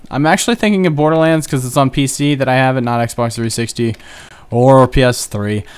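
A young man talks over his own game session in a loose, chatty way, wandering between asides and little jokes at his own expense. His voice is smooth and resonant at a middle pitch. Keyboard clicks come through from his desk. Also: a little fast. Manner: chatty, rambling, self-joking. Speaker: gaming channel host. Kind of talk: casual Let's Play commentary.

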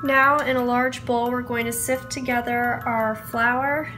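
High-pitched young woman, unhurried. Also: little pitch movement, music in the background. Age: young adult. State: sick with a cold. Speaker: baking vlogger. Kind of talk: casual recipe tutorial narration. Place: home kitchen, indoors.

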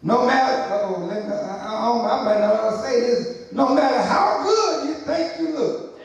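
High-voiced preacher man in an echoey church. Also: lively intonation, moderate pace. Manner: preaching with rising, shouted emphasis and call-outs to the congregation. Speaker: pastor. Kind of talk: sermon. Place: church sanctuary, large, indoors. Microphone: pulpit microphone, far camera. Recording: very echoey church hall from a distance.

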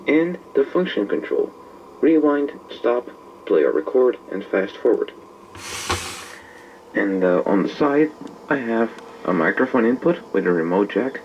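A man voicing a vintage tape recorder that introduces itself, measuredly reciting its specifications as a scripted presentation. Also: on the low side. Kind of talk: first-person scripted gadget presentation. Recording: dull, noisy amateur camera audio.

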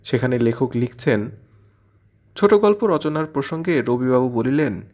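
A man's voice: medium pitch, animated, normal speed, a little mellow. A man presenting a scripted literary commentary in an explanatory way, posing questions and answering them as he goes. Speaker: presenter of a literary audio channel.

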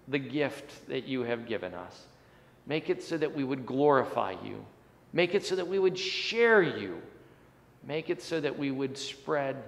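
A man's voice: a little high, vocal fry. A man in his forties, a pastor, preaching and praying in an earnest way with rising and falling emphasis. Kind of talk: sermon and prayer. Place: church sanctuary, indoors. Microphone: fixed camera at chest height. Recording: long church hall reverb.

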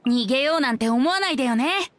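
A woman's voice: high-pitched, animated, fast talker, a bit bright, no echo. A woman voicing a playful young male wind bard for an anime-style game, with a light, youthful, teasing delivery. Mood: playful, breezy. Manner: teasing, breezy, singsong. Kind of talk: Japanese anime game voice acting.

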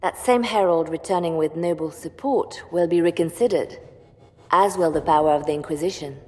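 An actress playing a shrewd, composed spymaster advisor in a video game, speaking in a measured, firm and persuasive way. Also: female voice, lowish voice, mellow, in a large hall, close to an everyday voice. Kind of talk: dramatic video game dialogue.

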